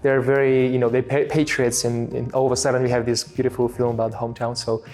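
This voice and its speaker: Bulgarian-accented man, halting and chatty. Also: mid-range voice, flat delivery, darkish tone, soft background music. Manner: halting, many fillers and restarts. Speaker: interview guest, filmmaker. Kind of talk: casual interview talk.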